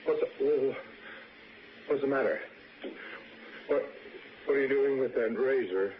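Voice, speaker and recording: An actor playing an irate, alarmed hotel neighbour roused from sleep, blustering and agitated in a 1960s radio drama. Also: male voice, medium pitch, unhurried, natural voice. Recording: dull, noisy old-time radio recording.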